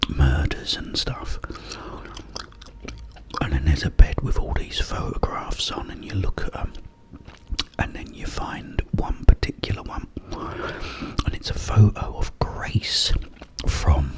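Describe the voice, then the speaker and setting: A man whispering up close in an unhurried ASMR ramble, with breathy delivery and hesitant pauses. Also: deep voice. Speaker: ASMR host. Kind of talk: ASMR whispered ramble about a video game. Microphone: close-up microphone.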